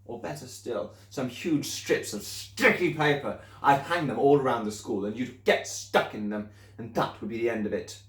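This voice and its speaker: A man's voice: normal speed, few pauses, fairly bright tone, lightly acted. A man barking as a furious giant headmistress. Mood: contemptuous. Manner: booming, scornful tirades. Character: huge, furious, bullying female headmistress. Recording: roomy echo.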